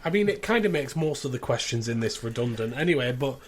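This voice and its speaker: Casual male podcast host, mid-pitched. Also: expressive, fast talker, a little hiss or hum. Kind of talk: casual podcast chat and plot recap.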